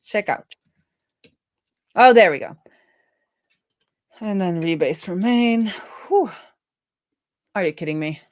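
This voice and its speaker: A woman live-streaming as a programmer, thinking aloud in a casual, halting way as she mutters through her work. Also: mid-range voice, expressive, no room sound, clean studio sound. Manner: halting, muttering to herself while working.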